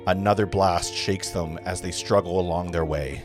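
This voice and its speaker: A man narrating stage directions in a live table read of a film script, sometimes halting and stumbling over the text. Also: sits low, fairly even intonation, music in the background.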